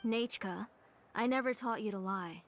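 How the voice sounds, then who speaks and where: Woman as composed mother, mid-pitched. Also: normal speed, soft background music, close to an everyday voice. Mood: firm, caring. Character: composed, caring but firm mother. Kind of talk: video game voice acting.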